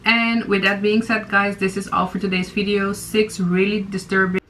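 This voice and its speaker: A woman's voice: medium pitch, level tone, moderate pace, noisy background. A young woman hosting a reaction video, talking casually with many fillers and restarts. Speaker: YouTube reaction host.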